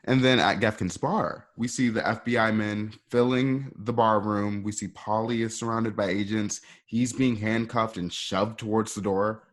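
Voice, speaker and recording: Low-voiced man narrating stage directions. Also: steady tone, normal speed, hardly any pauses. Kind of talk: scripted narration of screen directions.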